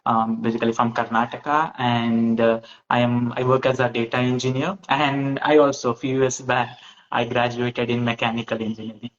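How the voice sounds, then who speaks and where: A man from South India, giving halting answers full of fillers as an interview guest. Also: medium pitch, flat delivery. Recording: muffled sound.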